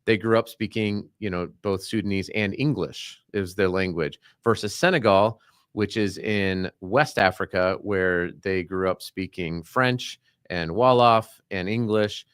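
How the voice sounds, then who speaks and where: A man with a lowish voice talks casually on a podcast, circling around his point with restarts and fillers. The sound is dry and close, with no room around it. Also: a little slow, fairly bright tone, clean tone, dry recording. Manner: rambling, many restarts and "like". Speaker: podcast co-host.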